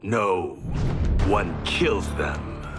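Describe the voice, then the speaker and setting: A man's voice: low-pitched, lively intonation, very slow, exaggerated voice. An actor playing a grim, ruthless older father villain in a video game, terse and menacing. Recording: loud music behind the voice.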